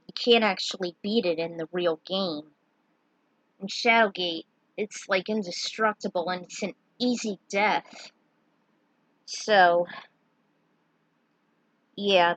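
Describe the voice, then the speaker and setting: A woman's voice: medium pitch, a bit bright, no room sound, rough recording. A woman narrating her own fan fiction, with some casual asides in her own words. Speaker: fan fiction writer and reader.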